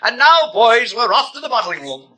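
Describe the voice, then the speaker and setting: An actor playing a pompous, brisk director of a futuristic hatchery, lecturing in 1950s radio drama style. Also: male voice, extremely high pitch, big pitch swings, bright, forceful tone, heavily acted.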